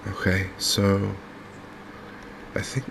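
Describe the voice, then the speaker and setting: A softly spoken man with a low, gravelly voice gives ASMR-style game commentary. His delivery is very even and unhurried, as if thinking aloud, with faint noise behind him. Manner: soft-spoken, unhurried, murmuring to himself. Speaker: game streamer.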